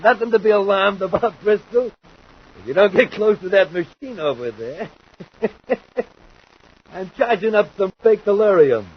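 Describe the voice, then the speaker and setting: An actor playing a scheming, greedy swindler who sneers and laughs scornfully, in a 1950s radio drama. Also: male voice, sits high, expressive, measured pace, long pauses. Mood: mocking. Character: scheming male swindler metallurgist, mocking and greedy. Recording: dull old radio recording with hiss.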